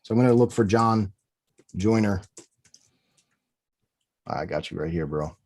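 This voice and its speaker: A man's voice: fairly low pitch, unhurried, a little mellow. A man hosting a live music review stream, talking casually with fillers and upbeat praise. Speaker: host.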